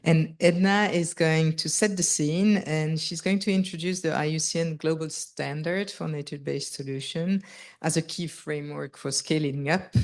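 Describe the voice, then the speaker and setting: Conference chair: woman with a Portuguese accent, deep voice, apologetic introduction. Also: fairly even intonation, normal speed. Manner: apologetic, reading a bio. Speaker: session chair introducing speaker.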